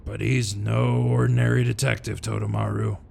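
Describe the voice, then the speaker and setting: A man playing a knowing senior police detective in an anime dub, with a gravelly voice. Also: fairly low pitch, flat delivery, moderate pace, darkish tone, a little hiss or hum. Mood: matter-of-fact.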